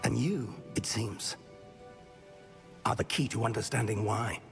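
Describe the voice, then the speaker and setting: An actor playing a learned, earnest older wizard professor in a video game, with a deliberate, explanatory manner. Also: male voice, fairly low pitch, creaky, fairly bright tone, soft background music. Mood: awed, explaining. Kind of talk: video game cutscene acting.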